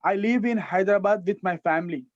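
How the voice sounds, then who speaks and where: A man's voice: sits high, fast talker, no echo, dull audio. A young man in his twenties with an Indian English accent, a student reciting a rehearsed self-introduction with small restarts over a video call.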